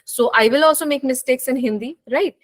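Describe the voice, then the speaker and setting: Woman w running an online class, lots of uh and um, talks fast. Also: sits high. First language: Bengali. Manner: switches between English and Hindi, many fillers. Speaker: nutrition instructor hosting an online class. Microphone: video call.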